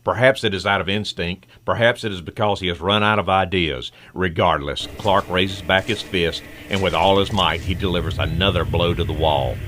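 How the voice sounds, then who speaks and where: A man's voice: low-pitched, normal speed, few pauses, very noisy. A man giving dramatic, scripted narration as the narrator of an old-style superhero radio drama.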